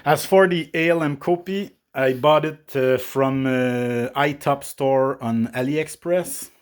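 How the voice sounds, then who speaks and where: A man who is not a native English speaker, reviewing espresso machines unscripted from a small apartment kitchen, with many pauses and filler sounds. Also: medium pitch, smooth. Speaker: coffee equipment reviewer.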